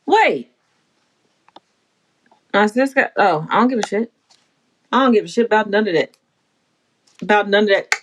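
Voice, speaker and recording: A woman's voice: medium pitch, animated, normal speed, long pauses, slight background noise. A brash, playful woman from the Bahamas chatting casually and swearing freely on a livestream. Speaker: livestream host.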